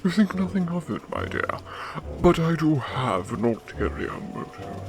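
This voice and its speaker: A man playing a genteel, flattering criminal mastermind and nightclub owner in a radio drama, speaking in a courtly, effusive, formal way. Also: fairly high pitch, moderate pace. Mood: smooth courtly flattery.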